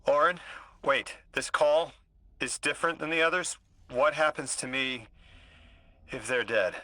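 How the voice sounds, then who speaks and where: A man playing a hesitant but brave, self-sacrificing companion in a video game, halting and earnest. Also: mid-range voice, sharp tone, no room sound. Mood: anxious. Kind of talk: dramatic video game acting.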